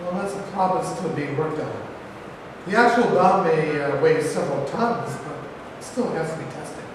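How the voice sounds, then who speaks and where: A man playing a deferential government official in a staged play reading, halting and formal, heard from afar in an echoey hall. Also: a little high, lively intonation, close to an everyday voice. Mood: formal, explanatory. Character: deferential male government official briefing his president. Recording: distant mic, echoey hall, clear noise.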